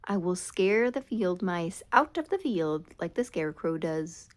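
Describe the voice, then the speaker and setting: Storybook pumpkin, played by a woman: little, proud, gleefully fierce, jolly and boastful, very animated pitch. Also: unhurried. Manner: booming jolly ho-ho laughter, boastful. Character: small round proud pumpkin, gleefully fierce, childlike male. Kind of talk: storybook character voice.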